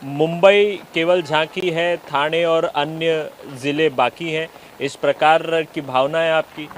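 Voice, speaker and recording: A man's voice: fairly high pitch, steady tone, slow. A man reporting and interviewing on location for TV news, in hesitant, filler-heavy phrasing. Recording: outdoor street noise, traffic.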